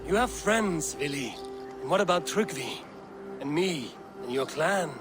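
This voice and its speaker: A loyal Viking warrior in a game cutscene. His voice sits a little higher than most men's, and he speaks plainly and earnestly in a measured way. Music is clearly heard underneath. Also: expressive. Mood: firm, caring. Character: steadfast Viking warrior, loyal, plain-spoken, dryly teasing. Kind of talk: video game cutscene acting. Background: prominent music.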